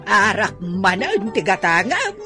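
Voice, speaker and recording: A woman's voice: medium pitch, big pitch swings, slow, sharp tone, soft background music, full character voice. A woman with an Ilocano accent playing a chatty, nosy village storekeeper in a comedy radio drama, drawling and exclamatory. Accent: Ilocano, northern Philippines. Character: chatty, nosy female village canteen storekeeper, eager to sell.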